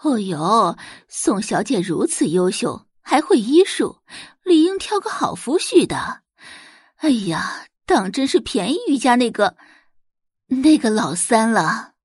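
女人演绎的 gushing, high-pitched society matron. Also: female voice, big pitch swings, slow pace, slightly rough, no echo, clean studio sound, exaggerated voice. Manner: effusive, exclaiming, fawning. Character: gushing, socially slick rich society matron Mrs. Fang.

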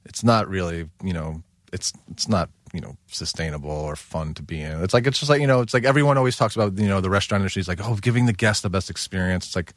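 A man with a lowish voice talks loosely and at length, with "like" and "you know" in almost every breath. He speaks as a working chef in a relaxed conversation. The recording is dry, close and studio-like. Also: fast talker, dry recording. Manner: rambling, full of "like" and "you know". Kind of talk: casual chat.

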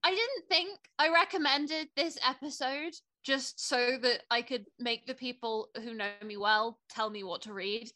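A woman's voice: sits high, measured pace, hardly any pauses, sharp tone, no echo. A woman co-hosting a podcast, chatting casually about books with emphatic enthusiasm. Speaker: podcast co-host. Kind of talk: casual book chat.

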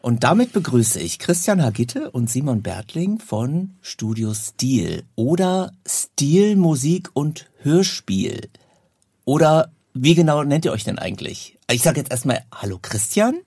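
A man's voice: mid-range voice, very animated, a little slow, darkish tone, slightly rough, no room sound, clean studio sound. A man hosting a talk podcast, chatting and presenting to listeners and guests. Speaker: podcast host and interviewer.

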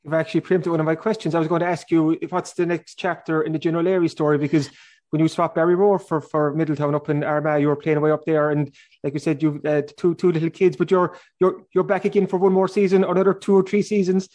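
A man's voice: a little high, flat delivery, quick pace, a little mellow. A man with an Irish accent hosting a sports interview, repeating phrases as he builds long, conversational questions. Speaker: sports podcast host.

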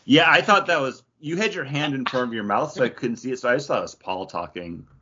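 A man's voice: medium pitch, animated, quick pace, muffled recording, background noise. A man hosting a podcast and moderating a casual chat about comic books. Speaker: podcast host moderating discussion.

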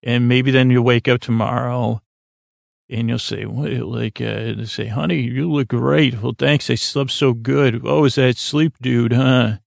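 A man hosting a sleep podcast in a lulling, soothing way, rambling unscripted, stumbling and trailing off mid-thought with gentle self-deprecation. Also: mid-range voice, fairly even intonation, a little slow, gravelly, no echo. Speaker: podcast host.

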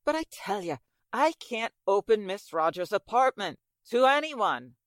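A woman acts out a polite, fussy landlady in a vintage radio play. She sounds proper and a little hesitant, her mid-pitched voice moving in lively swings. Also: unhurried. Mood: firm, reluctant. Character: fussy, polite female apartment building manager. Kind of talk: 1940s radio drama acting.

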